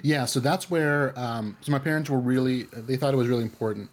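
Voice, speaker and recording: A man's voice: mid-range voice, steady tone, fairly quick. A man from the Bay Area talking casually in an interview, rambling with lots of fillers and restarts. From California Bay Area. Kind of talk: casual conversation.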